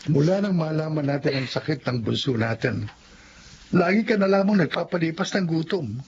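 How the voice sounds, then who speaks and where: A man's voice: fairly high pitch, lively intonation, moderate pace, vocal fry, slight background noise. An actor playing a caring, steadfast husband and worried father in a Filipino radio drama, earnest, with a Filipino accent. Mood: concerned. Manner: earnest, reassuring. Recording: dull lo-fi audio under loud music.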